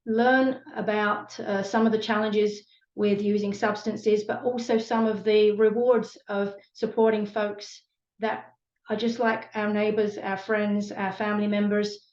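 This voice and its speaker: British woman, earnest community volunteer, flat even delivery, roomy and slightly muffled audio. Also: mid-range voice, a little slow. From Britain. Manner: earnest, passionate, many fillers. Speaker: community support team member. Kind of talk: online panel conversation. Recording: echoey room, dull lo-fi sound.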